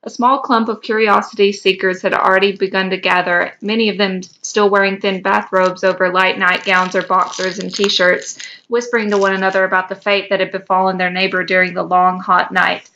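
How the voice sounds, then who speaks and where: A woman's voice: mid-range voice, level tone, brisk, hardly any pauses, pushed and bright, muffled recording, slight background noise. A woman co-hosting a podcast, reading a story aloud and now and then stumbling over a word. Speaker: podcast co-host. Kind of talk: reading fan fiction aloud.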